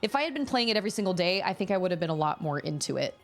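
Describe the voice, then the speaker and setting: A woman's voice: lowish voice, big pitch swings, very fast, faint music behind. A woman streamer chatting casually at home while playing a game live, thinking aloud and restarting her sentences often. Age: adult parent.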